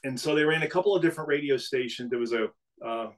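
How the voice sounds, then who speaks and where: A middle-aged man from New England, a business CEO talking casually as a podcast guest, telling stories in a relaxed way with frequent restarts. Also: medium pitch, fast. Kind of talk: casual interview conversation.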